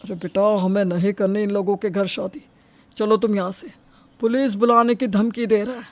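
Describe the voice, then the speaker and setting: A woman's voice: medium pitch, steady tone, moderate pace, darkish tone, a little hiss or hum, natural voice. A woman playing an indignant, suspicious parent of a groom, exclaiming and scolding in a story drama.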